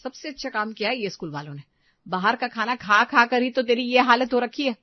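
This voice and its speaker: A woman's voice: a little high, brisk, no room sound, dull audio, close to an everyday voice. A woman voicing an exasperated, fussy young housewife and mother for a cartoon story. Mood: scolding. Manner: scolding, fussy.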